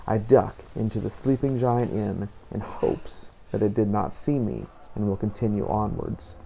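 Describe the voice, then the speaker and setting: A man narrating a game playthrough as a scripted first-person story, in a measured storytelling delivery. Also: on the low side, animated, gravelly, warm tone, slight background noise, low-quality recording.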